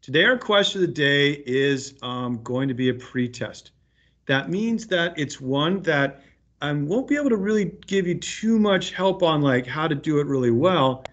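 A man's voice: fairly high pitch, expressive, moderate pace, a bit bright. A man teaching fifth grade, explaining an assignment to pupils in an informal, reassuring way over a video call. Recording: dull lo-fi video call audio.

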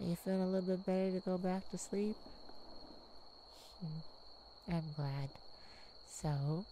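A woman playing a tenderhearted mage devoted to a werewolf partner in a romantic ASMR roleplay, soft, affectionate and creaky, with pauses. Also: lowish voice, slight background noise, low-quality recording. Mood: tender, soothing. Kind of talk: romantic ASMR roleplay, one-sided dialogue.

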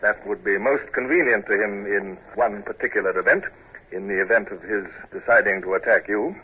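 An actor playing a serious, brooding British naval commodore, earnest and formal, in a 1950s radio drama. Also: male voice, fairly low pitch, fairly quick, husky. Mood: formal, urgent. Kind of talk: 1950s radio drama acting and first-person narration. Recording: noisy, dull old radio recording.

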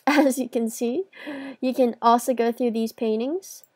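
A woman's voice: fairly high pitch, steady tone, a little slow, darkish tone. A woman hosting a video game tour, chatting informally as she gives casual commentary on what she is showing.

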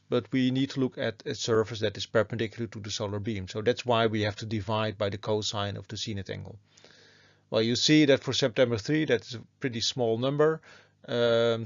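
Low-pitched, creaky man lecturing. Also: no echo, dull audio. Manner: methodical, step-by-step explaining. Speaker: university lecturer. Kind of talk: explanatory lecture over slides.

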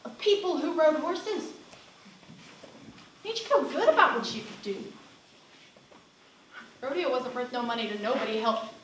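A woman's voice: high-pitched, sharp tone, full character voice. A young woman on stage playing a brash, fed-up rodeo cowgirl with a Southern US accent and a salty, folksy, emphatic manner. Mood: bitter, wistful. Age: young adult. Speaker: student actress performing a monologue. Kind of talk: theatrical stage monologue. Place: indoor theater stage. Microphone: far camera. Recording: distant, echoey theater recording with noise.

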